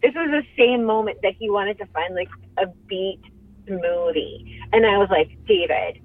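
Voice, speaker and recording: A woman's voice: mid-range voice, normal speed, no echo, phone-quality sound. A woman telling a story casually, with a sardonic, exasperated and emphatic delivery. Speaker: wife chiming in on travel story.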